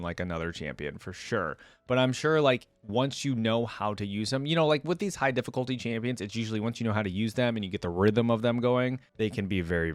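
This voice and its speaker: A man's voice: fairly low pitch, no room sound. A man hosting a reaction video, reading aloud and commenting in a casual, conversational way.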